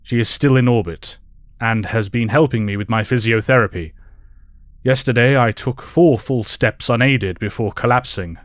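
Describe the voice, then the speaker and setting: A man playing a wise, reflective professor and mentor in an audio drama, formal and measured. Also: mid-range voice, no room sound, studio quality, lightly acted. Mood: warm, hopeful.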